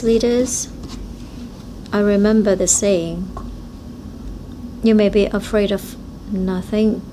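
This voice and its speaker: Slow, halting woman interpreter. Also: mid-range voice, a little mellow, a little hiss or hum, poor audio. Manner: halting, short phrase-by-phrase delivery. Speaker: simultaneous interpreter at a forum. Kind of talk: live interpretation of speeches.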